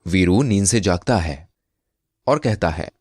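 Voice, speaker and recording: Deep, lively male storyteller. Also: unhurried, breathy edge, no room sound, studio quality. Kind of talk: scripted Hindi moral story narration.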